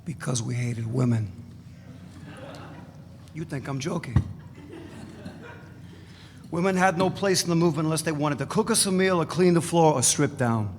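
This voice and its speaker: A man's voice: fairly high pitch, animated, moderate pace, pauses often, close to an everyday voice. A man reading the part of a boastful, crude male ex-radical fugitive in a live dramatic reading, with a blunt, provocative manner. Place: event space. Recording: reverberant live room, faint noise.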